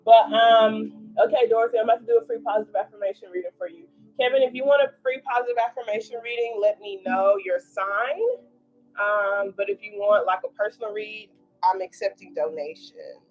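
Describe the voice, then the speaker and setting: Muffled, low-fidelity stream audio with music behind it carries the voice of a woman in her twenties. She reads tarot and affirmations live at a middling pitch. Her delivery is conversational and reassuring, addressed straight to the people watching. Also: brisk. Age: 20s. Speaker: tarot reader hosting a livestream. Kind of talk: casual live tarot and affirmation reading, chatting with viewers. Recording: dull lo-fi livestream audio.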